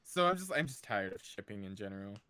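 A man of twenty-seven in relaxed, casual chat, a little hesitant. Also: on the low side, quick pace, smooth, no room sound. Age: 20s.

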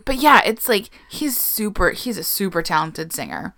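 A woman's voice: mid-range voice, animated, moderate pace, a bit bright. A young adult woman chatting casually as a co-host, gushing and laughing, sometimes dropping to a whisper. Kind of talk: casual chat.

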